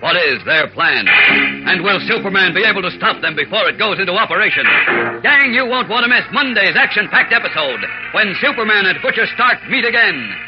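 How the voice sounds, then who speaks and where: Loud music runs behind a dull vintage radio recording of a male announcer. His fairly high voice narrates the serial with drama and suspense. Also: lively intonation, a little fast.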